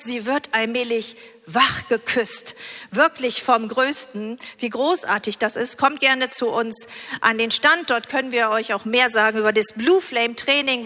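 Female conference preacher, forceful bright tone, enthusiastic delivery, long hall reverb. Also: on the high side. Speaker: conference speaker. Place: large congress hall, live on stage. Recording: hall reverb from stage sound system.

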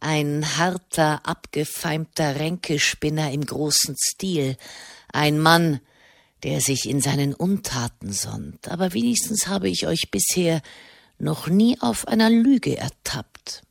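A woman voicing a gruff, scornful old hermit monk who growls cutting remarks in a storybook reading. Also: sits low, slow, no echo, clean studio sound, exaggerated voice. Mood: scathing.

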